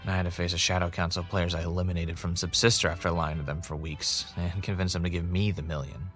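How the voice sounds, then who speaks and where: Audio drama lead: male actor as brooding, paranoid podcaster, very low register, introspective and confessional, light music bed. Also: flat delivery, quick pace, fairly bright tone. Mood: ominous, weary. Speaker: podcast host character and narrator. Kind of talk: audio drama monologue and dialogue.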